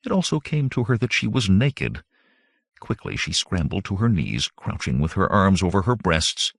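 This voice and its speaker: A male audiobook narrator with a low voice reads fantasy fiction from a script. His delivery is animated and varied in pitch, and the sound is dry, with no room on it. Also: moderate pace, vocal fry, husky, no room sound.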